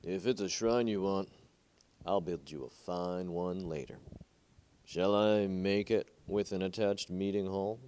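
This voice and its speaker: A man's voice: sits low, fairly even intonation, measured pace, a little mellow, clear, resonant tone. A man voicing a pushy village concessionaire in a storybook reading, persuasive and plain-spoken.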